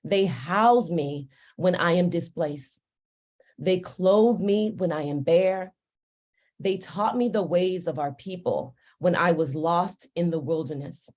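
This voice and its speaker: A woman whose first tongue is Yoruba delivers a formal ceremony address. Her voice sits a little low, and she takes her time, pausing between phrases in a deliberate, uplifting way. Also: darkish tone. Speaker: ceremony speaker. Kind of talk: formal commencement speech.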